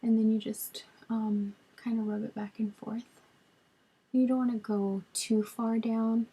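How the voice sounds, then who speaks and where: A woman in her twenties, raspy and a bit hoarse from a cold, walks through her makeup routine in a relaxed, chatty way. Her voice is low-key and mellow, flat in tone, and unhurried. She talks to a webcam in a small bedroom. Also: poor audio. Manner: relaxed, chatty, lots of ums while applying makeup. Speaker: beauty vlogger. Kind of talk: makeup tutorial chat. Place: small bedroom with storage shelves, indoors. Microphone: webcam at arm's length.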